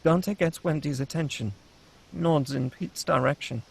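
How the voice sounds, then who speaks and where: A man narrates a screenplay with dramatic delivery, reading out scene headings and action. His voice sits slightly high for a man, with a gravelly creak and a husky edge. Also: measured pace. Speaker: narrator reading stage directions.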